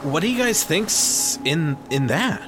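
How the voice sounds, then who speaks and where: A woman playing a hesitant, curious young contestant in a comedic audio drama, speaking haltingly and casually. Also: low voice, sing-song. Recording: music behind the voice.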